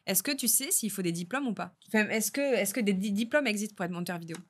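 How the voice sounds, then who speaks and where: A woman hosting a podcast interview, friendly and agreeable, tossing in quick follow-up questions. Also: medium pitch, slightly rough. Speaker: podcast host. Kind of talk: casual interview chat.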